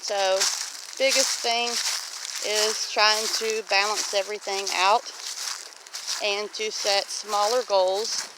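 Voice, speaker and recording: A woman's voice: medium pitch, flat delivery, slow. A woman of about fifty chatting casually as a homestead vlog host, reflective and plain-spoken. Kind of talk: casual walk-and-talk vlog. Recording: loud outdoor noise, clicks, honking geese.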